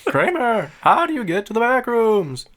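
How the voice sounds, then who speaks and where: A man's voice: very high voice, sing-song, normal speed, fairly bright tone. A man co-hosting a podcast, bantering casually and riffing playfully on jokes. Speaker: podcast co-host.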